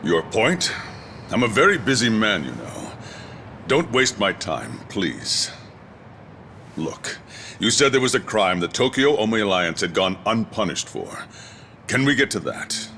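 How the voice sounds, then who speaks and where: A man's voice: on the low side, sing-song, a little slow, vocal fry, sharp tone, a little hiss or hum, heavily acted. An actor playing a curt, impatient, condescending male official in a video game cutscene. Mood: impatient, haughty. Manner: clipped, condescending.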